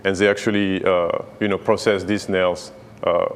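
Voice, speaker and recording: A man's voice: sits low, flat delivery, normal speed, long reverb tail, a little hiss or hum. A man from France with a French accent, a chef guest on a morning TV interview, speaking hesitantly with many fillers.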